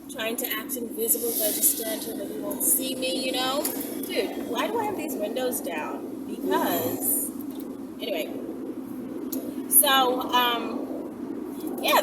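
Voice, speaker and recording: A woman vlogger chatting casually and playfully to her followers, rambling and emphatic, often checking in with "right?". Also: on the high side. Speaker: vlogger answering viewer questions. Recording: noisy vehicle ambience, distant mic.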